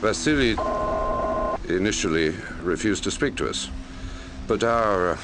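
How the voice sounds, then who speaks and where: A man acting as an investigator who narrates his grim logbook in a measured, brooding way for a mystery game, in a gravelly voice. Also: lively intonation, very noisy. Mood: grave, recounting. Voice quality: very deep, gravelly. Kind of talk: scripted first-person narration for a mystery game. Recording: music under the voice. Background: music.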